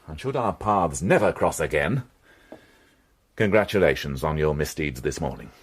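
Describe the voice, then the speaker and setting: A man's voice: a bass voice, lively intonation, moderate pace, husky, heavily acted. A man playing a sardonic, world-weary, middle-aged police inspector in a British radio crime drama, with dry, biting delivery. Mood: scornful, angry.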